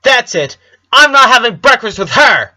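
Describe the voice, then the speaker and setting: A man's voice: extremely high pitch, sing-song, moderate pace, sharp tone, voice pushed up, full character voice. A man voicing furious, bratty young twin girls in a story, screaming accusations. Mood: defiant angry.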